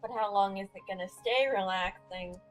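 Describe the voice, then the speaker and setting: A woman's voice: medium pitch, fairly even intonation, normal speed. A woman game streamer giving casual, reactive let's-play commentary with a giggly manner. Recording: game music behind the voice.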